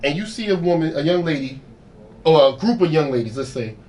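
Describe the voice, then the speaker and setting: A man's voice: fairly high pitch, expressive, brisk, darkish tone, far from the mic. A man talking in a casual podcast chat, earnest and hedging, often restarting his sentences. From Caribbean family roots. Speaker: guest DJ. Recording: voice a bit off-mic.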